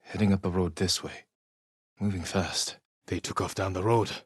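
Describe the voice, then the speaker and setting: Low, husky, grave samurai warrior. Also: male voice, fairly even intonation, no echo, studio quality. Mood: grave, resolute. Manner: measured, earnest, restrained delivery. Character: stoic, honorable samurai warrior, grave and determined. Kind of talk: scripted video game voice acting.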